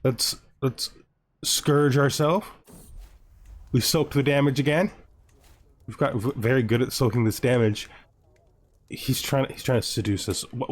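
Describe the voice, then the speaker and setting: A man hosting a gaming video with playful commentary, talking to himself in an animated way and often repeating phrases. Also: mid-range voice. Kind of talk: playful gameplay commentary with a scripted lore narration.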